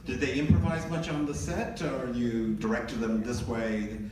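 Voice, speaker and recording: A man's voice: medium pitch, flat delivery, a little slow, a little mellow. Middle-aged man moderating in an echoey theater. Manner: chatty, enthusiastic. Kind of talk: live Q&A moderating. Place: large cinema stage. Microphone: handheld mic heard from the audience. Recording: echoey theater recorded from far, with audience noise.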